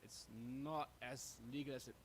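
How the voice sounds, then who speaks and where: A man, a musician in an interview, talking casually and haltingly as he feels his way through his words. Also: mid-range voice, fairly even intonation. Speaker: busking musician being interviewed. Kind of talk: casual anecdotal chat. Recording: clear background noise.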